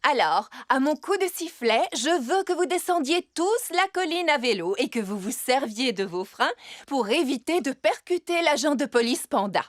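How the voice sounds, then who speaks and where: An actress playing a brisk, encouraging squirrel police officer in a children's cartoon, instructing children. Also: female voice, high-pitched, expressive, no room sound. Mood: brisk, instructive. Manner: instructive, clearly addressing children.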